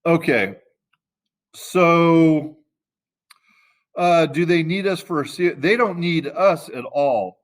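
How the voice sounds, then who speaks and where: A man teaching a class in a casual, conversational way, often pausing to search for the right word. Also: a little high. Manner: loose and conversational, searching for words mid-sentence.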